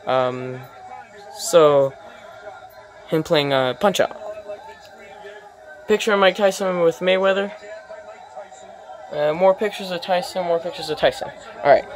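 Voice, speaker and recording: Vlogger, phone tour narration, off screen, unhurried, filler-heavy, indoor room. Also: mid-range voice, a faint crowd. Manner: unhurried, lists items, many ums and uhs. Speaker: vlogger giving a home tour. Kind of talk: casual walkthrough narration. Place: indoor room full of posters and memorabilia.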